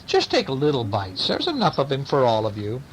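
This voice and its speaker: A man voicing a kindly, eccentric aunt who loves her cats, exclaiming and gently teasing in a storybook tale. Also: medium pitch, huge pitch swings, moderate pace, slight background noise, poor audio.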